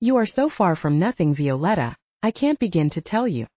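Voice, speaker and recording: A woman reading the part of a calm, measured middle-aged man, a father and leader, in an audiobook, gently serious in manner. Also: on the low side, no echo. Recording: slightly dull lo-fi audio.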